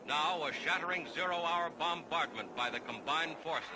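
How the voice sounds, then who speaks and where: A man's voice: fairly high pitch, moderate pace, very noisy. A man with an American accent narrating a scripted 1940s wartime newsreel in a dramatic, declamatory, authoritative manner, on an archival soundtrack.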